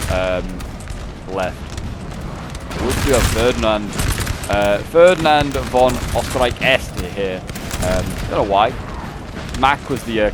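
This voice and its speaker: A man with a fairly low voice talks through a strategy game battle in loose, rambling commentary full of fillers and restarts. Gunfire and artillery from the game are clearly heard beneath him. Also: noisy background. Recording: loud game battle sounds under voice.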